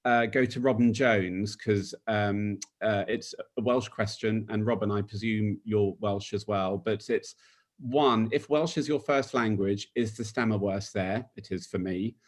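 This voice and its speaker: A man's voice: on the low side, fairly even intonation, a little slow. A man hosting a webinar, reading out audience questions in an informal, hesitant way with many fillers. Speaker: webinar host, author. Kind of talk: moderating questions. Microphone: video call.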